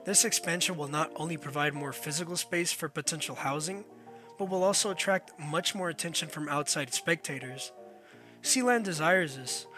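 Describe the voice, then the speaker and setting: A man narrating a documentary from a script. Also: on the high side.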